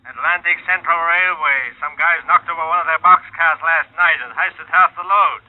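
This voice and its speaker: An actor playing a brisk, bossy insurance boss over the telephone in a 1950s radio drama, clipped and pushy. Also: male voice, a little high. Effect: telephone filter. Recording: thin phone-like vintage radio recording.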